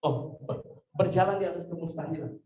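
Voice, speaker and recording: High-pitched man preaching, echoey and distant. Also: normal speed, dark tone, dull audio. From Indonesia. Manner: exhortative, repeats phrases and calls for amens. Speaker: guest preacher, pastor. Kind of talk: charismatic church sermon. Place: church service room, before a congregation. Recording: echoey room, distant microphone.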